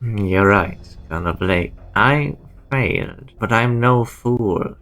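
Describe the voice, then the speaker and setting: Man acting as a slow, gravelly schemer. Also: fairly low pitch, fairly bright tone. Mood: insistent, defiant. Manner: deliberate, insistent. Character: proud, calculating male disgraced research director. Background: faint music.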